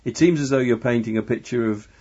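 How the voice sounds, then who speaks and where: A man's voice: medium pitch, fast talker, dark tone, slightly rough. A man interviewing someone conversationally, asking inquisitive questions with tentative phrasing. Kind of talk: conversational oral history interviewing. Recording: dull lo-fi interview recording.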